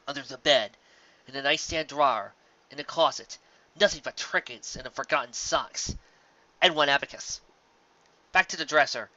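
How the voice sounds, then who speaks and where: A man's voice: medium pitch, pushed and bright, dry recording, lo-fi sound. A man reading a scripted story as its narrator, with dramatic delivery.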